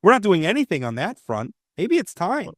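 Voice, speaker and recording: A man's voice: sits high, huge pitch swings, brisk, fairly bright tone, no room sound, studio quality. A man hosting a talk show, chatting opinionatedly and restarting his sentences often. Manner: opinionated, frequent restarts and stammered repeats. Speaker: radio talk show host. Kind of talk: casual political chat.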